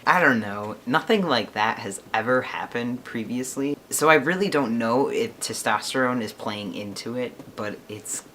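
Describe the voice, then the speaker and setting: A man's voice: mid-range voice, normal speed, hardly any pauses, sharp tone, slight background noise. A man in his twenties chatting casually to his camera, rambling and laughing often. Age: 20s. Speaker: vlogger.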